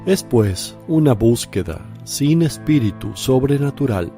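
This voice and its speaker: A man playing Jesus in a radio drama, speaking gently and solemnly as a teacher and preacher. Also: medium pitch, animated, unhurried, soft background music. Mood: preaching.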